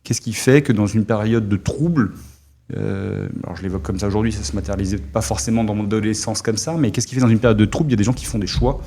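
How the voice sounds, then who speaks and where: A middle-aged man from Alsace, a former army officer, talking as an interview guest in a halting way with many fillers. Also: lowish voice, mellow, slight background noise. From Alsace, France. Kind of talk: casual interview talk.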